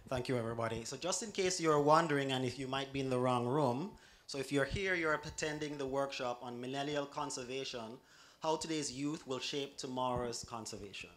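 Guy moderating a conference panel, measured, some uhs, handheld mic. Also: mid-range voice, fairly bright tone. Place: conference room with audience.

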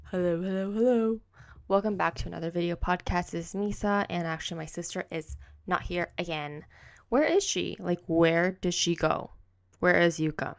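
A woman's voice: fairly low pitch, moderate pace, gravelly. A young woman, hosting a casual solo podcast at home on a close desk mic, rambling and correcting herself, saying "like" constantly. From raised in the United States. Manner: chatty, rambling, heavy use of "like", self-correcting. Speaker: podcast host. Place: home room with kitchen behind, indoors. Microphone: silver desk condenser mic, close.

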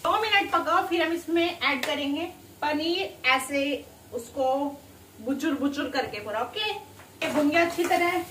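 A woman's voice: high-pitched, unhurried, fairly bright tone, slight background noise, low-quality recording. A young woman in her twenties chatting to camera in a casual home vlog while cooking. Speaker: vlogger. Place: small home kitchen and living room indoors.

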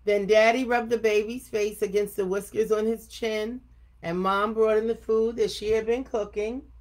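An older woman reading a children's picture book aloud expressively, with deliberate, emphatic phrasing. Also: medium pitch, fairly even intonation, darkish tone, low-quality recording. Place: home office room indoors. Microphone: webcam at desk distance.

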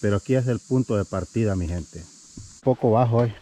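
A man's voice: on the low side, moderate pace, mellow, slight background noise, poor audio. Man vlogging on a wooded trail. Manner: chatty, addresses viewers as "mi gente". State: a little out of breath from climbing. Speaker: family vlogger. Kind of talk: casual hiking vlog narration. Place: wooded hiking trail outdoors. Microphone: action camera held at arm's length.

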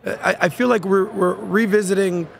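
High-voiced man hosting a podcast. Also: steady tone, vocal fry, a little hiss or hum. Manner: quick interjecting questions. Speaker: podcast host. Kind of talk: casual interview chat. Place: convention booth in a large indoor hall. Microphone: desk podcast mic.

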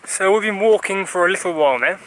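A man's voice: high voice, fast, a bit bright, rough recording. A young man chatting casually to a handheld camera while hiking a forest trail, narrating and joking. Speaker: vlogger. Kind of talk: casual hiking vlog chat.

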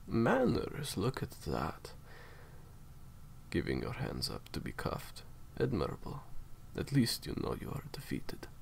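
A man's voice: lowish voice, huge pitch swings, a little slow, gravelly, a little hiss or hum, exaggerated voice. A man playing a menacing, cold villain in an audio roleplay, speaking in formal, theatrically polite threats. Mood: satisfied. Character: menacing, courteous male villain, cold captor. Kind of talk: roleplay audio drama monologue.

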